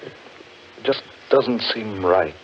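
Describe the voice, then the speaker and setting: Foreboding low-voiced man, lost in haunted woods, ominous radio horror delivery, hissy vintage recording. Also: expressive. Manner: ominous, theatrical, deliberate. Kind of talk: 1940s radio horror acting. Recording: muffled, noisy 1940s radio recording.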